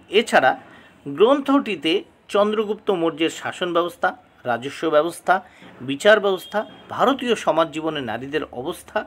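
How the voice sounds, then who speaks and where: Higher-voiced male tutor with lively intonation. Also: slightly rough. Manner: didactic, announces each item and repeats key terms. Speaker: history exam tutor. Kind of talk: exam lesson, reading questions and model answers aloud.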